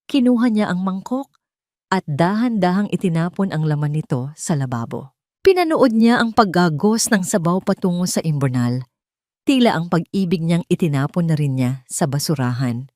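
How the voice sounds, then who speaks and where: Lowish-voiced woman narrating a drama. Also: lively intonation, moderate pace, darkish tone, no room sound, clean studio sound. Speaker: story narrator. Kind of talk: dramatic scripted narration of Tagalog romance drama.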